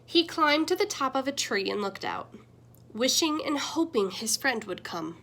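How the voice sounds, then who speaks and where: Lady teacher doing a storybook read-aloud, lively sing-song voice, gentle. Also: normal speed. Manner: gentle storytelling with expressive swings in pitch and loudness. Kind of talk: picture book read-aloud.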